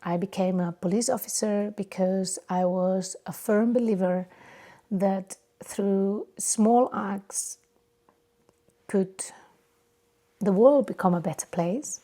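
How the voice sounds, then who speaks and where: A woman with a Spanish accent speaks in a mid-range voice at a very unhurried pace. She is a non-native speaker hosting an unscripted, personal podcast. Her delivery is halting, with searches for the right word. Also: medium pitch, warm tone. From Barcelona area, Spain. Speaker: podcast host. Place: home or private studio.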